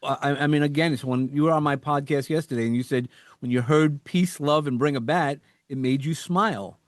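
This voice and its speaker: A man with a New York accent, a coach talking as a podcast guest, energetic and quick to repeat words and act out quotes. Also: a little high, no room sound, studio quality. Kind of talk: casual interview chat.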